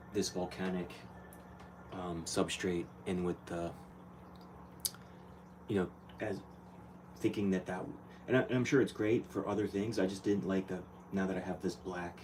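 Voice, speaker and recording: A man with a very low, quiet voice talks loosely through his thoughts, trailing off and restarting. He sounds set back from a phone mic, with steady background noise in a room at home. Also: far from the mic. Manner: quiet, rambling, many fillers and restarts. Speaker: aquarium hobbyist vlogger. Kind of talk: casual talk while working on a tank. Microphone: phone mic, off camera.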